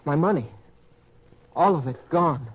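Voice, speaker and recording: A naive young man from the farm played by a male actor in an old-time radio play. His voice sits fairly high and husky, earnest and plaintive, on a dull lo-fi recording. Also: expressive, a little slow. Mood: worried, dejected. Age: young adult. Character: naive young farm boy, swindled, wounded and indignant.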